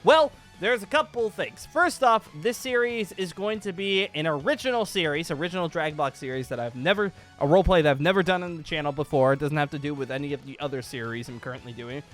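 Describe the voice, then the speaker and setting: Higher-voiced man, casual gaming vlogger. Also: quick pace, few pauses, a bit bright. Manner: rambling, emphatic, informal. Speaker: YouTube host announcing a new series. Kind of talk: casual, excitable gaming announcement vlog. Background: music.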